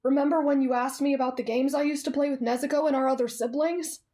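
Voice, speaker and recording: A woman playing a kind, polite, earnest teenage boy in an anime fan roleplay, speaking gently and considerately. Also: sits high, steady tone, quick pace, lightly acted. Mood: eager, friendly.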